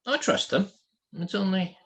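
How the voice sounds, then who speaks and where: A man's voice: high voice, unhurried, gravelly, fairly bright tone, pitched above the performer's own voice, heavily acted. A man voicing a loyal, stubborn Scottish weather mage aboard a ship, earnest and terse, in a fantasy audiobook. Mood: stubborn, devout. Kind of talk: fantasy audiobook character dialogue.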